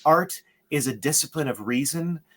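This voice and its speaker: A man's voice: fairly high pitch, animated, moderate pace, fairly bright tone. A man as an interview guest, explaining in an emphatic, lecturing way with rhetorical checks. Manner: emphatic, lecturing, asks "right?". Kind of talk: conversational interview.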